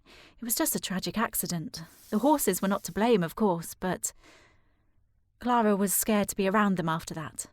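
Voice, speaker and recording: A woman playing a determined, formal young gentlewoman worried about her sister in a period audio drama. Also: mid-range voice, normal speed, no echo, clean studio sound, close to an everyday voice. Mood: wistful, confiding. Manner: formal, precise, insistent.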